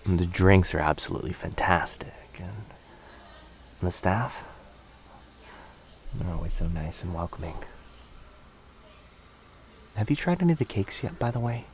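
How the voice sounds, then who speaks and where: A man playing a very shy, gentle young stranger in a boyfriend ASMR roleplay, speaking softly and haltingly, often near a whisper. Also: low-pitched, animated, poor audio. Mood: shy, tentative. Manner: hesitant, apologetic, halting, often dropping to a breathy near-whisper. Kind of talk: boyfriend ASMR roleplay, soft intimate acting.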